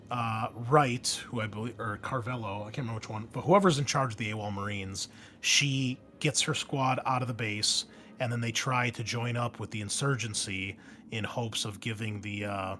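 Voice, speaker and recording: A man's voice: fairly low pitch, fairly bright tone. A man working as a game master, casually explaining a tabletop game's story in a hesitant way as he pieces details together from memory.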